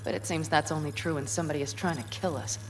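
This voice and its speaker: A woman's voice: deep voice, fairly even intonation, fast, slight background noise, natural voice. An actress playing a headstrong, defiant young nomad woman in a video game, with an emphatic, sardonic delivery. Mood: determined, argumentative. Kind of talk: dramatic video game acting.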